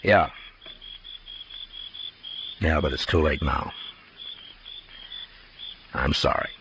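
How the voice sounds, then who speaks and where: Very deep-voiced Western marshal. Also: male voice, extremely slow, a bit bright, heavily acted. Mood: regretful. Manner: terse, plainspoken, authoritative. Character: stoic male frontier marshal, firm, grimly weary. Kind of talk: 1950s Western radio drama acting. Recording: noisy old 1950s radio recording.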